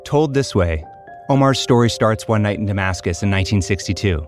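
A man hosting a podcast, giving calm, measured scripted documentary narration. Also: lowish voice, soft background music.

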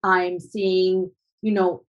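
A woman's voice: lowish voice, flat delivery, drawn-out words, dull audio. Thoughtful woman photographer from Colombia. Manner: thoughtful, hesitant, many restarts and fillers. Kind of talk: conversational interview answers.

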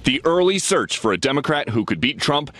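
A man's voice: on the high side, big pitch swings, fairly quick, a bit bright. An American man, a television correspondent, narrating a scripted political news report. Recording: played news clip with music bed.

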